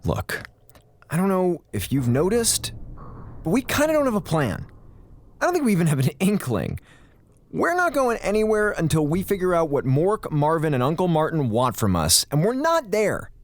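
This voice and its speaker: A man's voice: a little high, huge pitch swings, normal speed. A man playing a flippant, defensive spaceship crewman in an audio drama, with an informal, glib way of talking. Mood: urgent.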